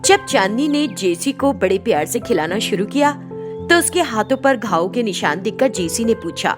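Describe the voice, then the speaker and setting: A woman's voice: medium pitch, animated, moderate pace, over music. A woman storyteller reading a scripted moral tale as narrator.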